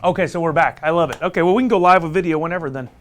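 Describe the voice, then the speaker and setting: A man's voice: on the high side, rapid-fire. A man with a Southern US accent hosting a casual company livestream, upbeat and joking with his viewers. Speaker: livestream host from a marketing team. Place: company headquarters studio.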